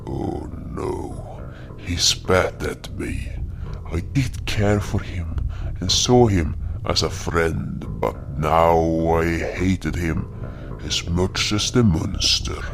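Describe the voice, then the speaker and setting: A man's voice: sits low, heavily acted. A man playing a brooding, wounded beast hunter, narrating his own tale solemnly in a dramatic audio story. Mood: bitter, vengeful. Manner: solemn, slow, deliberate delivery.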